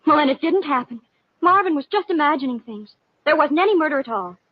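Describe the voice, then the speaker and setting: A woman's voice: high-pitched, lively intonation, fast talker, dry recording. A woman playing an anxious, melodramatic, lovestruck young woman in a 1950s radio drama, with breathless, earnest pleading. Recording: muffled vintage radio broadcast.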